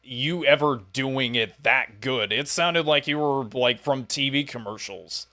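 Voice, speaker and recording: A man with a bright, crisp-edged voice at an average pitch hosts a casual interview. His questions wander loosely as he chats. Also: a little slow. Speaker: podcast interviewer.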